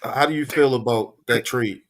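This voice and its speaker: A man's voice: medium pitch, normal speed, creaky, poor audio. Easygoing male podcast co-host. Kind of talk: casual chat.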